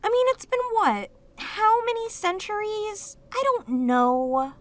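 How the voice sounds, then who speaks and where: A woman voicing a lonely, clingy, sweet female demon in an ASMR audio roleplay, with an earnest, halting, pleading manner. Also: very high voice, big pitch swings, no echo, exaggerated voice. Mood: pleading, indignant.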